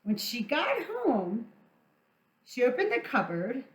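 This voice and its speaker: A woman reading a storybook aloud to young children as a storytime teacher, theatrical and deliberate, drawing out words for emphasis. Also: on the high side, huge pitch swings. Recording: echoey room sound.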